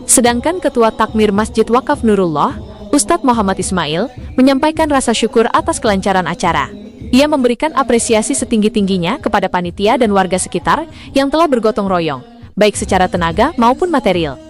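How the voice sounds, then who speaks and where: A woman reading a scripted news voice-over in a smooth, even way. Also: a little high, brisk. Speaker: news narrator. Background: background music.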